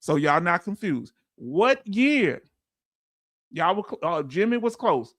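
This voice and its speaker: High-voiced folksy man in his fifties. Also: sing-song, slow, fairly bright tone, dry recording, clean studio sound. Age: 50s. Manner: quizzes viewers with repeated questions; loose, folksy talk. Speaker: veteran benefits streamer. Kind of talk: livestream lesson answering chat.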